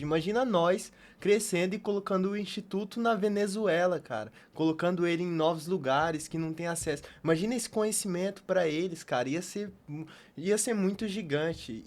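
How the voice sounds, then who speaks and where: A man co-hosting a podcast in a casual, enthusiastic way, halting and repeating himself as he goes. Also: sits high. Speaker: podcast co-host. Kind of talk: casual chat.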